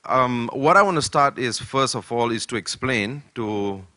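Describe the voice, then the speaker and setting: An older man from Malaysia giving a conference keynote talk, speaking slowly and deliberately with many fillers. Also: mid-range voice, expressive, bright, forceful tone, no echo, clean studio sound. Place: conference hall.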